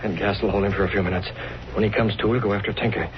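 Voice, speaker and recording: A man's voice: lowish voice, fairly even intonation, very fast, close to an everyday voice. Male actor as masked crime fighter: commanding, clipped, taunting menace; vintage radio drama on a dull, noisy recording. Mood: cool, commanding. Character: masked vigilante man posing as menacing, taunting crook. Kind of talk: 1930s radio acting.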